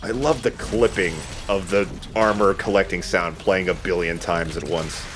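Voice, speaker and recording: A man's voice: low-pitched, steady tone, moderate pace, music in the background. A man giving casual, explanatory commentary on a video game in a conversational way. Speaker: let's play gamer and commentator.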